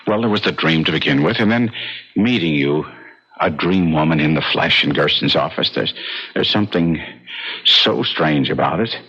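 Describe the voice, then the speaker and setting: A man's voice: very deep voice, animated, normal speed, pushed and bright, husky, heavily acted. An actor playing an earnest, obsessed, awkward man with hesitant, stammering intensity in an old-time radio drama. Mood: obsessed, pleading. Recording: muffled old radio broadcast.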